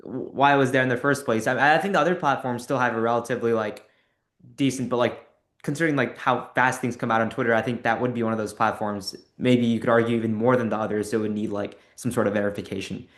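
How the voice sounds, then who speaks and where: A man's voice: mid-range voice, steady tone, quick pace, a bit bright. A teenage male interviewer talking casually and hesitantly, peppering his questions with "like". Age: teens. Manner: hesitant, very frequent "like". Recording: echoey, roomy sound.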